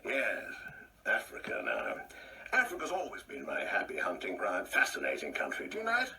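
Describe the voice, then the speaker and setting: An actor playing an ageing English gentleman in a radio play, pompous, brusque and uneasy, rambling in clipped phrases. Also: deep voice, huge pitch swings, normal speed, creaky, exaggerated voice. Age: older. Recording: distant mic, faint background noise.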